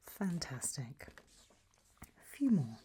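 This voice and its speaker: A woman doing a whispered ASMR roleplay as an examiner, hushed and deliberate, pausing between short phrases. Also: sits low, big pitch swings, a little hiss or hum. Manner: slow, deliberate, softly instructive with long pauses between options.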